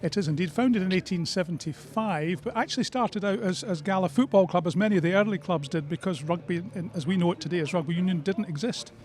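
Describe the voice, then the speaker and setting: Male sports radio interviewer, Scottish accent, high voice, brisk delivery, conversational and live. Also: a little mellow.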